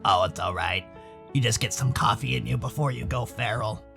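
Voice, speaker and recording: A man voicing an easygoing, gently teasing boar man in a visual novel, with halting, understated delivery. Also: medium pitch, vocal fry, soft background music, voice pushed down. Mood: mild, teasing.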